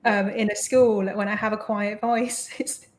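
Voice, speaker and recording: A woman's voice: mid-range voice, fairly even intonation, measured pace. A young woman co-host, formerly a science teacher, chatting casually. She describes herself as an introvert and talks with many fillers. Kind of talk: casual chat.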